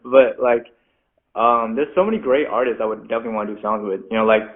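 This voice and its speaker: A man's voice: medium pitch, fast talker. Casual male guest, loose and chatty, lots of filler words, lo-fi call audio. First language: Mandarin. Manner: chatty, says "like" constantly, self-deprecating laughs. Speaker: interview guest, rapper. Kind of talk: casual interview chat. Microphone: video call. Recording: phone-like video call audio.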